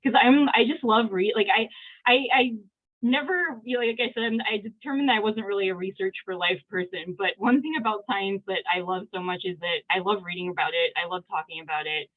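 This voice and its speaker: A woman's voice: medium pitch, a little fast. A woman researcher talking enthusiastically about science on a video call panel, rambling with many "likes". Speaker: early career researcher guest. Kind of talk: casual panel chat. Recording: tinny phone-like call audio.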